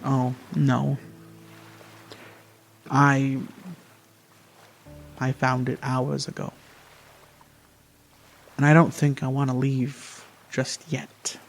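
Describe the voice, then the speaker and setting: A man playing a wistful, frightened, terminally ill young person in an amateur radio drama, speaking in halting, repeated phrases. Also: mid-range voice, completely flat delivery, creaky. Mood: quiet, resigned. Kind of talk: amateur radio theatre acting. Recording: music behind the voice.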